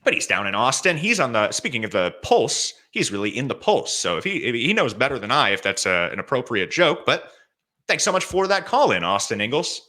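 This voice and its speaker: A man hosts a comedy podcast in a deadpan, mock-earnest manner, moving quickly from one voicemail to the next. His speech is brisk and lively, with a bright, forward tone. He sounds like a regular host introducing callers to his audience. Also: medium pitch. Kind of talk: casual comedy podcast chat.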